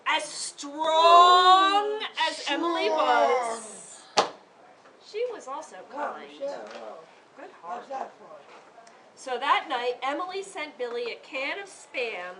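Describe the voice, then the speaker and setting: A woman reading her story aloud to a live audience in a theatrical, interactive way, with drawn-out emphasis and questions to her listeners. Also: high-pitched, lively intonation, crowd noise. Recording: distant mic with audience noise.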